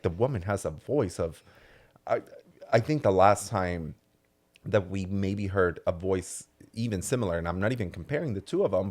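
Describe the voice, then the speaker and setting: A low-voiced man hosts a casual podcast chat. He is emphatic and sassy, gushing over what he loves. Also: a little mellow. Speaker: podcast host.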